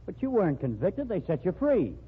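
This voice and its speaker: An actor playing a cautious, proper society husband in 1940s radio acting, fussy and disapproving. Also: male voice, sits high, wildly swooping pitch, brisk, husky, exaggerated voice. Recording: noisy tinny old radio broadcast.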